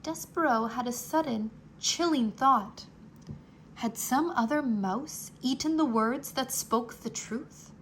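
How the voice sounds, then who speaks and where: A woman reading a children's storybook aloud, slow and expressive, dropping to a whisper at quiet moments. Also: sits high, a little hiss or hum, poor audio. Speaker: storybook reader. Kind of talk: children's read-aloud narration.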